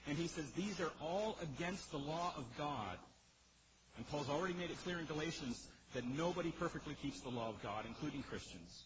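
A man's voice: on the high side, animated, brisk. A man preaching an expository sermon in a powerful voice, emphatic yet conversational, putting questions straight to his listeners. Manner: emphatic, conversational, rhetorical questions and direct address. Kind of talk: sermon, expository teaching to a congregation. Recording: muffled sound with clear background noise.

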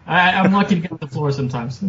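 A muffled, lo-fi recording with some background noise carries a man's slightly high voice. He is a guest author in a casual interview, talking haltingly and with a self-deprecating manner. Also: a bit bright.

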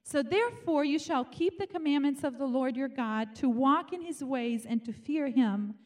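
Fervent high-voiced woman preacher from Romania. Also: normal speed, a little mellow. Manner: fervent, calls out "Amen?" to the congregation. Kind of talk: evening sermon with scripture reading.

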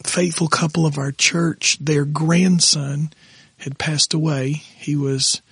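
A middle-aged pastor, hoarse and raspy tonight, talking haltingly with his wife on their podcast. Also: fairly high pitch, level tone, a bit bright, no echo. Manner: halting, restarts often when moved. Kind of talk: casual conversation with his wife.

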